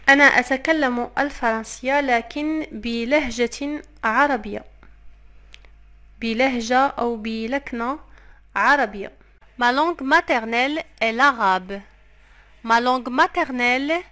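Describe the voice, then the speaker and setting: A woman leads a phrase lesson. She says each French line slowly and carefully, repeats it, and then explains it at a quicker pace. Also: a little high, pushed and bright. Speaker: French teacher. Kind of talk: phrase lesson for Arabic speakers.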